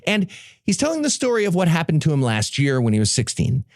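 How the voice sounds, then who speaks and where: A man's voice: on the high side, wildly swooping pitch, fast talker, dry recording, clean studio sound. A man hosting a comedy podcast, giving a sarcastic, profane recap to his co-hosts. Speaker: podcast host.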